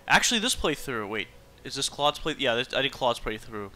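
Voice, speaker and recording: A man's voice: medium pitch, big pitch swings, fairly quick, bright, forceful tone. A man livestreaming a game, chatting informally and thinking aloud as he plays. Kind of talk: casual livestream chat over gameplay.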